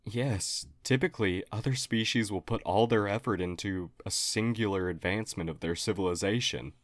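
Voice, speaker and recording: A man's voice: lowish voice, moderate pace, no echo, close to an everyday voice. A man playing a friendly alien historian, formal, earnest and hesitantly apologetic, in an audio roleplay. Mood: earnest, polite. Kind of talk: audio roleplay acting, addressed to listener.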